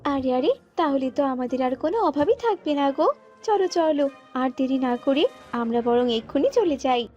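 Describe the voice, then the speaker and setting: A woman's voice: high-pitched, expressive, normal speed, mellow, dull audio. A woman voicing a fiery but devoted female bird wife in a cartoon, emphatic and emotional. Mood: eager. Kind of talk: cartoon voice acting. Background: music.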